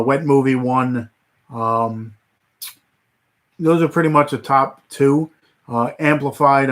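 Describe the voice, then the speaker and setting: A man hosting a casual livestream, rambling with many fillers and restarts, his voice starting to go hoarse. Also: medium pitch, a bit bright. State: voice getting hoarse. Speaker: livestream host.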